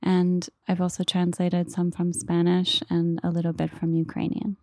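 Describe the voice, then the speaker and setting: An American woman in her thirties telling personal stories in a measured, thoughtful way, often pausing mid-phrase. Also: on the low side, completely flat delivery, darkish tone, no echo, studio quality. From Oklahoma, United States. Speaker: author and literary translator. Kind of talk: reflective personal storytelling.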